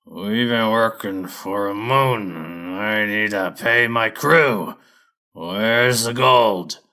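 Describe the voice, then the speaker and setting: A man voicing a gruff, hairy lumberjack pony boss in a storybook, growling his words slowly, loudly and bluntly. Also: on the low side, full character voice. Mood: demanding. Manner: slow, loud, blunt delivery.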